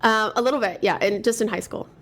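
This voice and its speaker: Woman, middle-aged, from Toronto, upbeat, casual, ums, mid pitch. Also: fast. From Toronto, Canada. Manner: warm, upbeat, many ums. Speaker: interview guest, marketing executive. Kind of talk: casual conversation.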